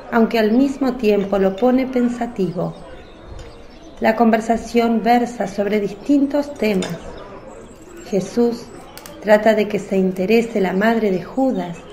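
A woman's voice: medium pitch, mellow. A woman reading scripted narration for a religious radio drama. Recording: roomy, echoing voice track.

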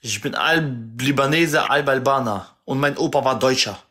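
A man's voice: mid-range voice, normal speed, bright, forceful tone. A twenty-three-year-old man hosting a live stream, chatty and teasing, turning aside to talk to his viewers. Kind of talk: casual live stream chat.

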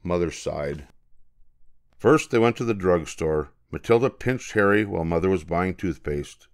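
Older male storybook narrator, deep register, reading for children. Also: lively intonation, moderate pace.

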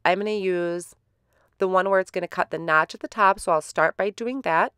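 A woman hosting a card-making tutorial in a relaxed, step-by-step voice-over, a little stuffy from a head cold. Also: fairly low pitch, normal speed, clean tone, dry recording, studio quality. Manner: relaxed, step-by-step explaining with fillers.

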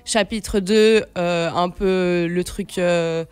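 A woman's voice: on the low side, fairly even intonation, very slow, darkish tone, smooth, faint music behind. A woman, chatting casually as an interview guest, searching for her words, close on a studio mic. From Switzerland, then Brazil as a teenager. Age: late 20s. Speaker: guest, singer-songwriter. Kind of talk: casual radio interview chat. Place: radio studio. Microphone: studio microphone on a stand, close.

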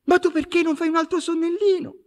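Woman playing a high, quick, wheedling young puppet wife. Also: fairly even intonation, darkish tone. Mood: coaxing, evasive. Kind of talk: theatrical farce acting.

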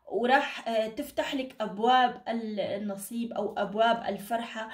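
A woman speaks at a brisk clip in an echoey room, a little away from the microphone. She reads cards informally and reassuringly, with endearments and blessings, her voice somewhat tired. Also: fairly high pitch, fairly even intonation, far from the mic. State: tired, strained voice. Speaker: card reader, channel host. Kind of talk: informal fortune-telling reading to camera. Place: indoor room at a table.

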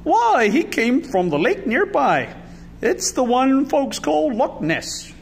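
Man putting on a high-pitched, folksy uncle. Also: big pitch swings, takes it slowly, vocal fry, breathy edge, exaggerated voice. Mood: folksy, cheerful.

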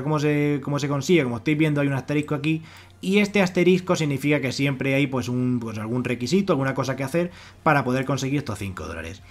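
A man with an accent from Spain giving a casual, wordy screen-share walkthrough as an online presenter, often repeating himself to explain. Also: fairly high pitch. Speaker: YouTube presenter of money-making websites. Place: voice-over on a screen recording.

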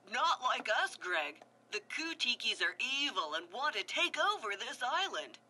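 A woman voicing a calm, brave male tiki who leads his tribe with reassuring commands. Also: fairly high pitch, measured pace, a little hiss or hum, pitched above the performer's own voice, full character voice. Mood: commanding.

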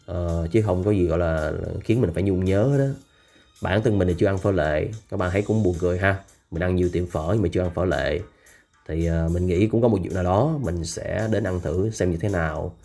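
Low-voiced man vlogging. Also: a little fast, warm tone. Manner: chatty, hesitant with frequent fillers, lists places. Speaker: food and travel vlogger. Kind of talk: casual narration while riding through city streets. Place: riding a motorbike on a busy city street at night. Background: street music.

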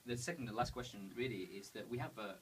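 A man's voice: medium pitch, fast talker. A man in his thirties hosting an informal interview, introducing and asking questions in tentative, roundabout phrasing. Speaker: interviewer and host. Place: indoors in a bookshop, seated. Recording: distant, hissy camera recording.

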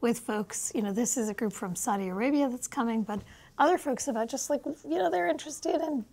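A woman's voice: on the high side, fairly quick, gravelly, a little mellow. A woman in her fifties hosting a studio interview, asking casual, loosely phrased questions. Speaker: interview host. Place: small TV studio. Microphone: lapel mic.

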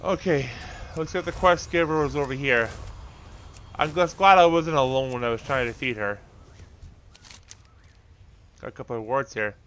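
A man's voice: mid-range voice, moderate pace, long pauses, a bit bright, slight background noise. A man giving casual gameplay commentary, talking to the characters on screen and swearing mildly. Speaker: gaming video host.

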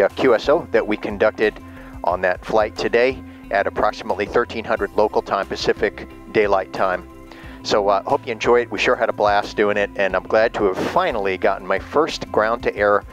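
A man narrating from his home radio room in a casual, upbeat way with many filler words. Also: lowish voice. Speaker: ham radio operator and private pilot. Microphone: GoPro camera on the desk.

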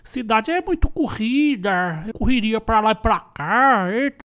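An actor playing an excitable, simple country farmer in a cartoon, with a rural Brazilian caipira accent, exclaiming and rambling in a high put-on voice. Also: measured pace. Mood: contented, musing. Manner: exclamatory, rambling, repeats himself. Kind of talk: animated cartoon monologue.

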